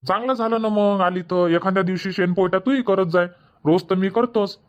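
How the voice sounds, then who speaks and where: A man's voice: high-pitched, fairly even intonation, brisk, muffled recording, lightly acted. A man voicing an evasive village farmer husband in a dubbed cartoon comedy, grumbling and bossy.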